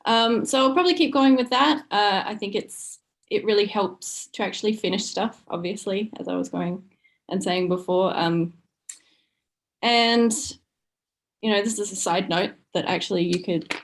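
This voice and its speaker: A woman's voice: medium pitch, moderate pace, vocal fry. A woman talking casually as a guest writer in a group discussion. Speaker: short story writer, podcast guest.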